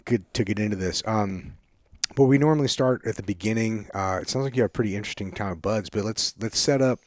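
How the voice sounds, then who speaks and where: Laid-back low-voiced podcast host. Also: male voice, fast talker, dry recording, studio quality. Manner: laid-back, swears and laughs easily. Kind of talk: casual podcast chat.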